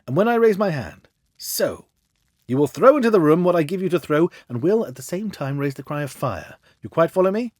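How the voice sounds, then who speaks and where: A man reading a storybook as a confident, commanding Victorian gentleman detective with an assured, theatrical manner. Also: on the high side, sing-song, fairly quick, dry recording, clean studio sound, pitched above the performer's own voice.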